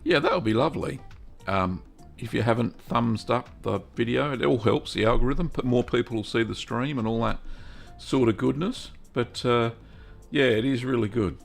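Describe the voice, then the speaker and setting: A middle-aged man hosting a casual cheese-making livestream, chatty and upbeat, reading out viewer comments and filling pauses with ums. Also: medium pitch, vocal fry, soft background music. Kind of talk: casual live-stream chat.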